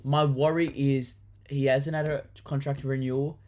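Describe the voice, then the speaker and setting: A man's voice: medium pitch, flat delivery, normal speed, a little mellow. A young Australian man chatting casually to camera about his fantasy football team, enthusiastic, with lots of ums and restarts. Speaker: football vlogger.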